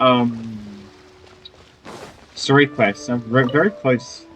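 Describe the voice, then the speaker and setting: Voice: medium pitch, low-quality recording. A gamer giving casual, informal playthrough commentary and reading dialogue aloud, reacting as the game goes. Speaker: gamer playing through a story quest. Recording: game music behind the voice. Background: game music and dialogue.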